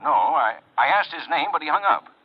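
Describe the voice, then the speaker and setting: Excitable middle aged dad on old radio, quick husky talk, muffled lo-fi. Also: male voice, on the high side. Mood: eager, relieved. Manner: eager, excitable. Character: excitable, anxious, hopeful father of an accused son. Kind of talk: 1940s radio drama acting.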